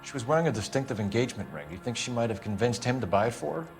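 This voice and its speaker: A man acts out a stern police detective for a crime game. His delivery is clipped and insistent, moving fast with lively swings in pitch. Clear music plays behind his formal questioning. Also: natural voice. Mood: pressing, stern. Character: male police detective, stern, probing, tightly controlled. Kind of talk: dramatic interrogation dialogue.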